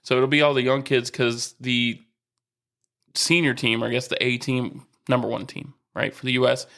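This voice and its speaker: A man's voice: mid-range voice, flat delivery, moderate pace, gravelly, fairly bright tone. Easygoing man co-hosting a podcast. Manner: easygoing, talks sports with a fan's enthusiasm. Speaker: podcast co-host. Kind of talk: casual chat.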